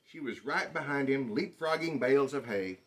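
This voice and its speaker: A man reading a children's picture book aloud in an expressive, dramatic storytelling manner. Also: medium pitch, normal speed, slight background noise. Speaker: storybook reader.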